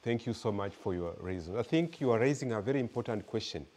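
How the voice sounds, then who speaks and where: A Kenyan man giving an academic lecture and answering audience questions, measured and searching for words as he talks. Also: fairly low pitch, lively intonation, a little mellow. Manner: measured, repeats words while searching for them. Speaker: guest lecturer.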